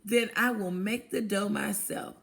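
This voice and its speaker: A woman voicing a hardworking, long-suffering little red hen from a children's storybook, emphatic and deliberate. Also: medium pitch, fairly even intonation, vocal fry, a bit bright. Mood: resigned, resolute.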